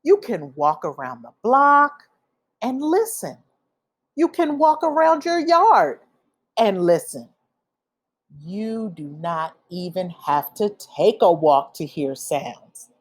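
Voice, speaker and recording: Lady reading a kids picture book out loud, really slow n expressive, voice goes up and down a lot. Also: mid-range voice. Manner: expressive, deliberate phrasing for young listeners. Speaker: read-aloud storyteller. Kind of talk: children's picture book read-aloud.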